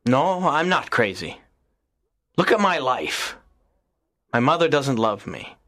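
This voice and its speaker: An actor playing a lonely, earnest teenage schoolboy in an audiobook, talking in a soft, low, pleading way. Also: male voice, big pitch swings, slow pace, no echo, studio quality. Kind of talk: audiobook character reading.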